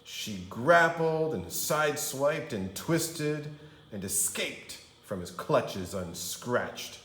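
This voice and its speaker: A man reading a storybook aloud for young children as a teacher, with expressive, dramatic delivery. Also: medium pitch, roomy sound. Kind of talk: animated storybook read-aloud.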